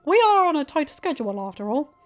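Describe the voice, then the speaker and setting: A prim gentleman pony with poised, proper speech, as a woman reader puts him on. The pitch sits fairly high, and the recording is slightly muffled with soft music. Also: big pitch swings, quick pace. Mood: stern.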